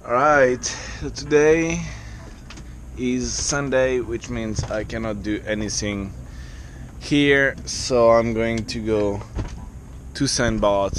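Slow-talking French man vlogging. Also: fairly low pitch, slight background noise. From France. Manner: relaxed, thinking aloud with fillers. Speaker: sailing vlogger. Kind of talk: casual vlog to camera.

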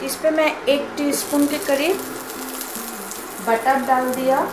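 A woman's voice: high voice, fairly even intonation, slow pace, noisy background. A woman with an Indian accent narrating a cooking tutorial step by step, mixing in English words as a home cook hosting her channel. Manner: simple, instructional, switches between Hindi and English.

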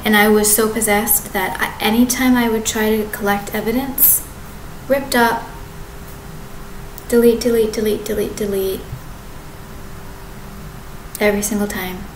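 A woman in her thirties talking to a webcam in her apartment, rambling and halting, often restarting and correcting herself. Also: mid-range voice, steady tone. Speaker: vlogger. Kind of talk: rambling direct-to-camera monologue. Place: apartment living room with kitchen behind. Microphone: webcam at desk distance. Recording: echoey room with background noise.

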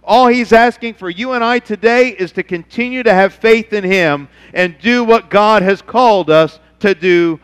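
Middle-aged pastor preaching from the stage, smooth and resonant, long church reverb. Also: male voice, high-pitched. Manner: animated preaching, acts out God's voice in quotes. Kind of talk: sermon.

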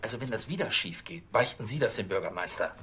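An actor playing a pompous, easily exasperated police chief in a TV crime comedy. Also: male voice, mid-range voice, sing-song, fast talker, bright, forceful tone, a little hiss or hum. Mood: irritated, bossy. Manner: pompous, theatrical.

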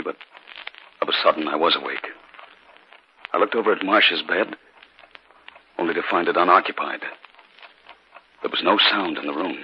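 An actor playing a steady husband caught in an eerie haunting, with deliberate, dramatic 1950s radio delivery. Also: male voice, very deep voice. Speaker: actor narrating and playing the lead. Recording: dull, noisy 1950s radio recording.

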